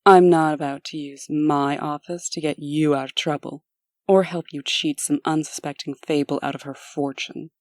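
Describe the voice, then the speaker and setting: A woman plays a cold, businesslike ex-wife in a comic dub. Her voice is deep and dark, dryly recorded, and full of sardonic venom. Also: fairly even intonation, normal speed, dry recording, studio quality, lightly acted. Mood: scornful. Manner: cutting, sardonic. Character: cold, venomous, no-nonsense ex-wife and busy administrator.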